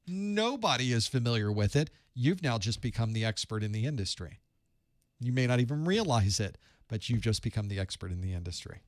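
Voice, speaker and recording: A man's voice: medium pitch, no echo, studio quality. A man hosting a live study group as an IT instructor, talking earnestly and off the cuff, often restarting and repeating phrases.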